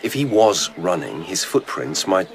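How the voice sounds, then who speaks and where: A man's voice: sits low, sing-song, unhurried, creaky, slight background noise, heavily acted. A man in a radio drama playing an earnest, methodical lieutenant who investigates by thinking aloud intently. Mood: frustrated, pressing.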